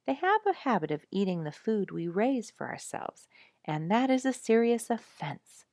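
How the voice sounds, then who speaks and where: A woman reading an animal storybook aloud in an expressive, animated storytelling manner, as if for listeners following the pictures. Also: on the high side, moderate pace, dry recording, studio quality. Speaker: storybook reader.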